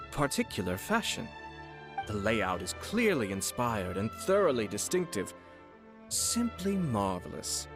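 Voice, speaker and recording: An actor playing a refined young nobleman in a video game, courteous, composed and slyly teasing, with formal, measured speech. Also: mid-range voice. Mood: gracious, musing. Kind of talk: scripted game character voice lines. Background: background music.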